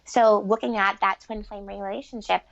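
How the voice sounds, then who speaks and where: A woman's voice: medium pitch, fairly even intonation, normal speed, gravelly, no echo, highs cut off. A woman speaking conversationally as an interview guest on spiritual matters, explaining earnestly and repeating points for emphasis. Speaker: podcast guest, author and relationship expert.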